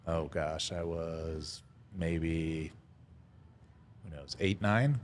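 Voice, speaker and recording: A middle-aged man, a guest telling his life story in a casual interview, pausing often on "uh" and chuckling now and then. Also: extremely low pitch. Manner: halting, lots of "uh" fillers, chuckles now and then. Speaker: restaurant founder, podcast guest.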